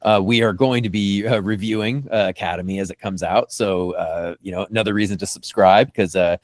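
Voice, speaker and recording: A man hosting a casual podcast discussion, talking enthusiastically in a halting way and often repeating phrases. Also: fairly low pitch, fairly even intonation, no room sound, studio quality. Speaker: podcast host.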